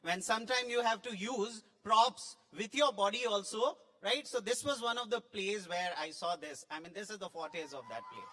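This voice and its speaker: A man's voice: high-pitched, moderate pace, hardly any pauses, pushed and bright. A man giving an effusive, emphatic impromptu speech as a judge at a school play event. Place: large school hall. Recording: echoing hall with long reverb.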